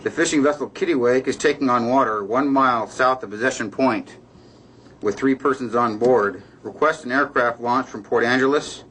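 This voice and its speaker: A male Coast Guard dispatcher, played by an actor, speaking in clipped, procedural radio phrases. The voice is medium in pitch and businesslike, with faint noise behind it. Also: moderate pace, a bit bright, lightly acted, low-quality recording. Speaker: rescue dispatcher. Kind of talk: dramatized official radio traffic.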